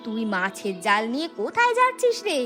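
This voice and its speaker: A woman's voice: sits high, huge pitch swings, brisk, sharp tone, faint music behind, exaggerated voice. A woman voicing a nosy village neighbour in a cartoon fairy tale, with a sarcastic, scolding way of talking. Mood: nosy surprise.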